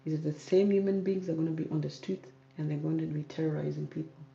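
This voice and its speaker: A woman's voice: deep voice, steady tone, fast talker, creaky, mellow. A young woman who hosts a hair channel answers viewer comments on a livestream, firm and repeating herself for emphasis. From South Sudan, raised in Kenya. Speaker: YouTube hair channel host. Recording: roomy, dull audio with faint noise.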